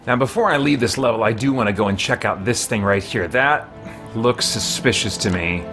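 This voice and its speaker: A man's voice: lowish voice, moderate pace. Middle-aged man musing over game music. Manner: musing, chatty, thinking aloud. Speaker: game streamer. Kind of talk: casual live gameplay commentary with chat. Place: small home office, indoors.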